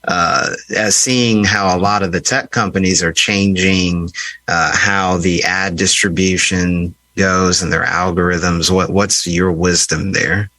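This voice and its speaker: Casting: male podcast host, low register, conversational and measured, very dry room. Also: fairly even intonation, pushed and bright, no room sound, rough recording. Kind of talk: conversational interview questions.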